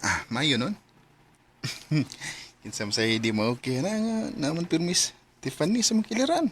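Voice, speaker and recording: A man's voice: a little high, animated, a little slow, fairly bright tone. An actor playing a teasing, supportive young male friend in a radio drama. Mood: friendly. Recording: faint noise and ambience behind.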